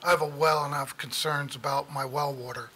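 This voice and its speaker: A man giving a public comment at a town meeting, speaking haltingly with many pauses and fillers. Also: steady tone, bright, forceful tone, slight background noise. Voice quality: low voice.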